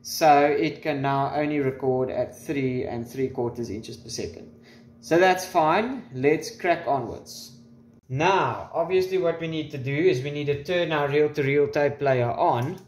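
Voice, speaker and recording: A man in his twenties gives an informal how-to in a slightly high voice. He is offhand and wry, dropping in dry asides. He speaks into a camera's built-in microphone in a room at home. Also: measured pace. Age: 20s. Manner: informal, wry joking asides and mild swearing. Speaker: tutorial presenter. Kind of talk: casual how-to demonstration. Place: small room at home, indoors. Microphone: camera's built-in microphone at varying distance.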